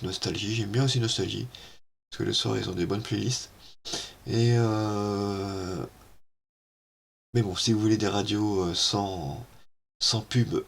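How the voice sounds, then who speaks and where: A man walking through a software tutorial at his computer, hesitant and full of filler sounds, on an amateur setup. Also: fairly low pitch, gravelly, slight background noise. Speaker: tech YouTuber. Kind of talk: casual screen-recorded software tutorial.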